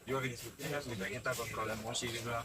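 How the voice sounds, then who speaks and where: A man's voice: mid-range voice, steady tone, rapid-fire. A young man from North Sulawesi, a military athlete giving interview answers and urging others to join in. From Manado, North Sulawesi. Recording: very noisy ambient background with birds.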